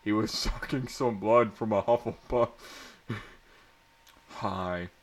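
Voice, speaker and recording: A man's voice: fairly low pitch, steady tone, measured pace. A man doing a comedic dramatic reading of bad fan fiction, prone to stumbling and giggling as he reads. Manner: often breaking into giggles and stumbling over words.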